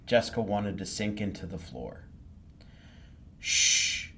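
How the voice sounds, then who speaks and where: A low-voiced man narrates a children's story for storytime. His intonation moves a great deal, expressive and animated. There is faint noise behind the voice. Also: moderate pace. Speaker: library storytime reader.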